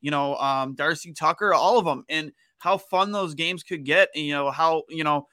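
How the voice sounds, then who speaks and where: A man from Western New York co-hosting a casual hockey podcast, talking in a halting way and repeating words as he builds his point. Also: a little high, sharp tone, dry recording, studio quality. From Western New York, United States. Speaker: sports podcast co-host.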